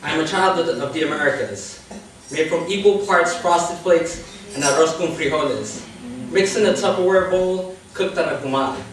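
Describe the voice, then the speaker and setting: A man's voice: fairly high pitch, moderate pace. A young man from Queens, New York, whose first language is Spanish, reading a spoken word poem from paper at an open mic on a small indoor stage. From Jamaica, Queens, New York. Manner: reads from paper, relaxed asides before poem. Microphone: far camera, mic on stand. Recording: echoey room from far off, faint hiss.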